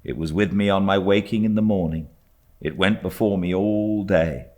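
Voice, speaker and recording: A British man reading a classic novel as an audiobook narrator, in a measured, expressive storytelling manner. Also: low-pitched. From Britain. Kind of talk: scripted literary narration.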